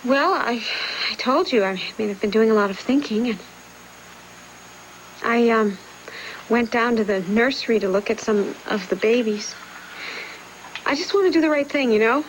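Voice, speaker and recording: A woman's voice: fairly high pitch, normal speed, pauses often, slight background noise, close to an everyday voice, low-quality recording. An actress playing an anxious, determined expectant mother in a television soap, earnest and hesitant, often pleading. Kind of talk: television soap drama acting.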